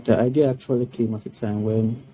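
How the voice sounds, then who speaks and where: A middle-aged man from Sierra Leone giving a formal testimonial about a project he completed, speaking in a slow, measured way on a video call. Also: mid-range voice, fairly even intonation, mellow, a little hiss or hum, poor audio. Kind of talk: prepared testimonial speech. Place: indoor room. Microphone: webcam on a video call.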